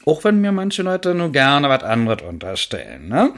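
An actor playing an evasive, casually defensive man in a satirical radio monologue, with a husky voice. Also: mid-range voice, wildly swooping pitch, normal speed, a bit bright.

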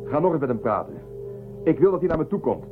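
A man playing a measured, authoritative spaceship commander in a science fiction radio drama. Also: a little high, very animated. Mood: commanding. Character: male spaceship commander, calm authority, questioning. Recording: lo-fi phone-like band, music behind.

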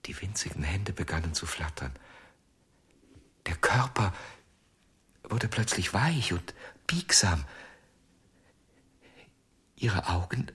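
An actor playing a haunted, obsessive ventriloquist in a German radio crime drama, husky and often near a whisper, speaking slowly and haltingly. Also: male voice, sits low, expressive, heavily acted. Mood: hushed, entranced. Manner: slow, halting, hushed storytelling. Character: haunted, obsessive male ventriloquist confessing, tender and weary.

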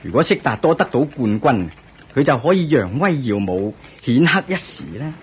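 Casting: male actor as proud middle-aged king, very raspy, swooping delivery, Hong Kong Cantonese, theatrical radio drama. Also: medium pitch, normal speed. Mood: concerned, ambitious. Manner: theatrical, regal, expressive. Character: proud, doting ancient Indian king, anxious about his heir.

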